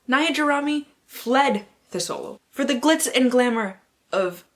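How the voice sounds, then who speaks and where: A woman's voice: sits high, lively intonation, unhurried, fairly bright tone, slight background noise. A woman book vlogger who mixes casual, slangy chat with mock-dramatic blurb reading and playful, sweary asides to herself.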